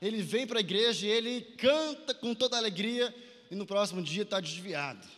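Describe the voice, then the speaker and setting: Male Brazilian preacher, bright and forceful, exhorting a youth conference in a reverberant hall. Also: high voice, expressive, brisk. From Santarém, Pará, northern Brazil. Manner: exhorting, asks listeners to repeat phrases and respond. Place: large indoor conference hall, amplified. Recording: echoey large hall with long reverb.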